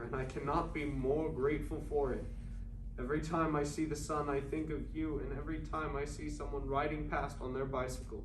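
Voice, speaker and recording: A lovesick writer of the 1920s, acted by a man, speaks his love letters with earnest, poetic longing at a middle pitch. It is a staged play reading, caught from far off in an echoing room with clear background noise. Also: lightly acted. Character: lovesick male writer in 1920s Paris, yearning and fearful. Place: indoor performing arts academy, mic far off. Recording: distant, echoey, noisy live stage recording.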